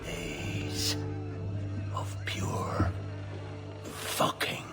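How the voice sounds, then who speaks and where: An actor playing a menacing, brooding middle-aged pirate captain in a video game, with a gravelly breathy growl and grandiose delivery. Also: male voice, loud music behind the voice. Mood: seething menace. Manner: slow, grandiose, swinging between whisper and roar. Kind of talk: cinematic video game acting.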